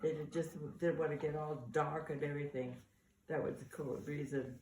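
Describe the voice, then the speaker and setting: An older woman, a mother casually sharing family memories in a halting, conversational way. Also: deep voice, fairly even intonation. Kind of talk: casual oral-history reminiscence. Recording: echoey, distant mic, faint room noise.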